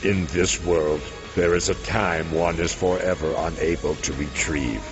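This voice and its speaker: An actor playing a grave, brooding narrator of a dark fantasy saga, solemn and measured in scripted narration, with a gravelly voice. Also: male voice, steady tone. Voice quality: deep, gravelly. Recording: dull audio with clear background music.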